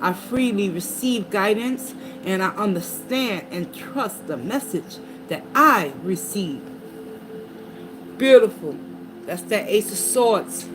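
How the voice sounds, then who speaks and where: A woman's voice: mid-range voice, animated, slow. A woman giving a tarot reading, reading card meanings aloud and dropping in casual asides to her viewers. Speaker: tarot reader. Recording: noticeable background noise.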